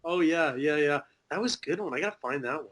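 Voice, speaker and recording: Casting note: thirties male guest, somewhat high voice, loose rambling delivery, swears casually. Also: animated, fast, a bit bright. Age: 30s. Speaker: podcast guest. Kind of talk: casual chat.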